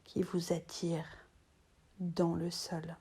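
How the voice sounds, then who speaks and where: A woman with a lowish, mellow voice leads a guided relaxation. She half-whispers in short, soothing phrases with long pauses between them. Her delivery stays very even in pitch. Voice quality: soft, breathy, half-whispered. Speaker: yoga teacher.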